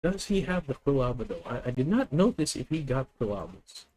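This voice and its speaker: A man's voice: medium pitch, sing-song, brisk, darkish tone, rough recording. A man with a Filipino accent streaming a card game, methodically thinking aloud and correcting himself as he plans each move. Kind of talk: live deck-test commentary.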